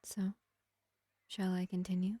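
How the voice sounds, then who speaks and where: Woman as a breathy, half-whispering gentle vampire. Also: on the low side, completely flat delivery, no room sound. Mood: calm, gentle. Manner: unhurried, measured, soothing. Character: gentle pacifist female vampire, ancient, calm and curious. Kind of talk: slow intimate ASMR-style fantasy roleplay.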